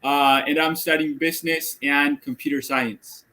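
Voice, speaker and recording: A man's voice: fairly high pitch, steady tone, unhurried, sharp tone, low-quality recording. A young man from Argentina giving a casual group presentation on a video call, talking in an upbeat way with many fillers. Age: young adult. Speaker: student presenter.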